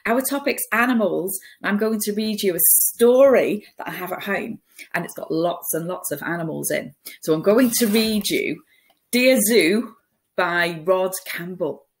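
A woman in her forties reads a picture book to young children as a teacher would, expressive and playful, with dramatic pauses and hushed asides, recorded in a room at home. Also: medium pitch. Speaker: primary school teacher reading a picture book. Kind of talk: animated children's story reading. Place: small room at home, indoors.

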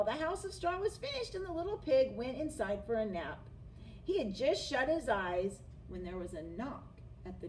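A woman's voice: on the high side, a little mellow, low-quality recording. A middle-aged woman reading a picture book expressively to children in a classroom. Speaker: storyteller, teacher. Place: small classroom, indoors. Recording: clear background room noise.

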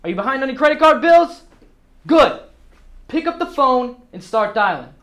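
Guy playing a cocky pushy rich boss ranting at his sales team, really high voice for a man, emphatic. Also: a little fast, lightly acted. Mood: fired up. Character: brash, domineering, foul-mouthed rich salesman boss. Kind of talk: motivational tirade monologue.

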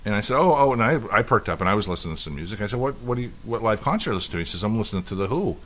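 A man's voice: lowish voice, animated, rapid-fire, fairly bright tone. Rambling man in his fifties, casual co-host. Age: 50s. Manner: rambling storyteller with many restarts. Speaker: podcast co-host. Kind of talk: casual chat.

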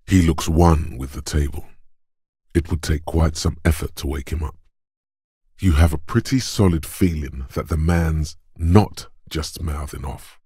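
An actor voicing the gruff, macho inner voice of brute physical strength in a video game, gravelly, deliberate and commanding. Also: male voice, rumbling low, dark tone, dry recording, studio quality, full character voice. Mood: stern, deadpan. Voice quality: gravelly, husky. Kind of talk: dramatic game narration.

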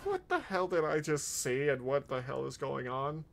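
A man's voice: on the high side, sing-song, moderate pace, gravelly, slight background noise. A man hosting a reaction stream, giving casual, exclamatory commentary as he watches.

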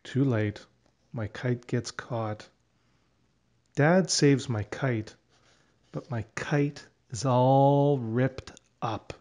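A man narrating a children's storybook aloud in an expressive, deliberate way. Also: mid-range voice.

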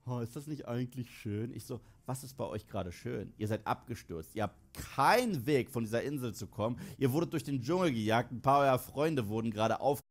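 A man's voice: mid-range voice, animated, fairly quick, hardly any pauses. A man giving a comic film review to camera, incredulous and sarcastic, acting out imagined dialogue.

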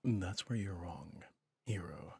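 Breathy, low-voiced weary villain, acted by a man. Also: no echo, full character voice. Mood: weary, resolute. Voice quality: breathy, often dropping near a whisper. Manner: slow, soft, pensive, with deliberate pauses. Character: weary male villain, reflective, gentle toward his rival hero. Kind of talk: ASMR roleplay audio drama.

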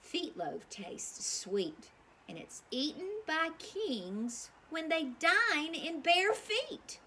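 Lady doing a cheery sure-of-it cook from a kids book, singsong, pitch jumps around. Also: big pitch swings, very slow, bright, forceful tone, a little hiss or hum, heavily acted. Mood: assured. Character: confident storybook cook, cheerfully agreeing.

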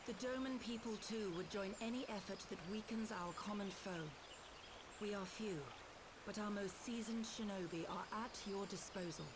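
An actress plays a composed female shinobi leader in a fantasy game. Her voice is mid-range, calm and formal. Clear nature ambience with birds runs behind it. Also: mid-range voice, normal speed, noisy background, natural voice. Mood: resolute. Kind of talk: scripted fantasy game acting.

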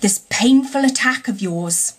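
A wry storybook rat, put on by a woman narrator, loyal and kindly. He sounds doubtful yet coaxing, with a husky grain in the voice. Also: a little high, lively intonation, unhurried. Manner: dubious, gently coaxing.

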